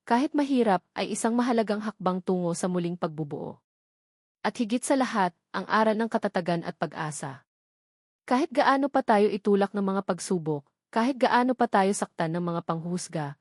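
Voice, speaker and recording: A woman's voice: mid-range voice, darkish tone, dry recording. Woman narrating sentimental stories, dry studio sound. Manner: earnest, sentimental, measured reading. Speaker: story narrator. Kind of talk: scripted dramatic story narration in first person.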